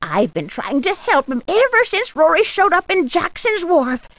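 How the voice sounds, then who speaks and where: A fussy, theatrical older prop mistress in a video game. Her voice is high and swoops grandly from word to word, recorded close and dry. She talks like someone forever posing a riddle. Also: very animated, moderate pace, a bit bright, no echo, full character voice. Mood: indignant, gossipy. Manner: theatrical, riddling, calls listeners "my dear". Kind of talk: video game character acting.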